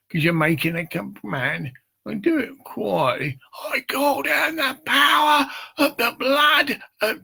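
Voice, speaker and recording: An older man with a gravelly, husky voice, rambling and swearing in a loose monologue, often checking "get it?". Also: sits high, pushed and bright. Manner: rambling, profane, keeps asking "get it?". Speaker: street preacher.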